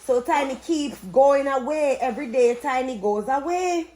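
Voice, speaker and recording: A woman's voice: high-pitched, normal speed, dark tone. A woman with a Jamaican accent chatting bluntly and reading aloud to children like a parent vlogging at home, stopping to instruct and quiz them. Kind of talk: casual vlog chat and interactive read-aloud.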